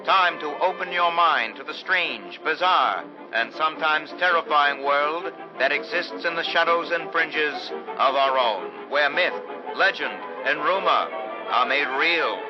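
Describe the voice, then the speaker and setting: An actor playing a grandly mysterious, melodramatic announcer and narrator of an old-time radio serial. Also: male voice, on the high side, unhurried, close to an everyday voice. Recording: dull vintage radio recording over loud music.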